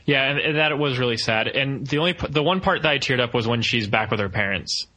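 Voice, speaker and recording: Bright-voiced man in casual podcast chat. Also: mid-range voice, quick pace, low-quality recording. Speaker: podcast co-host. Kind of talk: casual film review chat.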